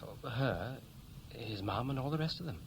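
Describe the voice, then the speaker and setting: A restless young Welshman in a sixties radio drama. His pitch swings freely as he hesitates and corrects himself, half shy and half boastful. The old recording carries clear background noise. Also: expressive, normal speed. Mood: doubtful, frank. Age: young adult. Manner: hesitant, colloquial, self-correcting. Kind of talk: 1960s radio play acting, naturalistic dialogue. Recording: noisy vintage 1960s radio recording.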